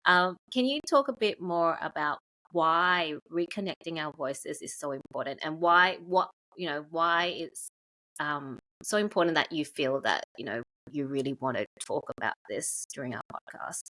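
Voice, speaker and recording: A woman with a low voice hosts a podcast interview. She affirms her guest and asks her questions haltingly, with plenty of hesitations along the way. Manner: warm affirming interjections, hesitant with many "um" and "you know". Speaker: podcast host.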